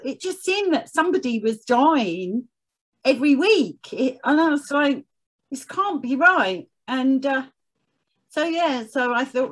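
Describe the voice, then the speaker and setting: Female podcast guest, fairly high voice, conversational personal storytelling. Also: poor audio. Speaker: podcast guest, charity chief executive.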